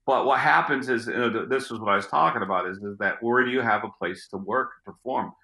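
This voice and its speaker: Gruff, bright-voiced man in echoey room. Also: mid-range voice, quick pace. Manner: rambling, repeats words while thinking. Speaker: street magician. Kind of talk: interview answers.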